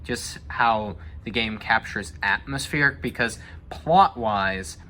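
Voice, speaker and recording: A man's voice: lowish voice, slow, pushed and bright, slight background noise. A young man chatting casually and giving his opinions, thinking aloud as he goes. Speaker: discussion host. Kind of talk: casual chat about video game movies.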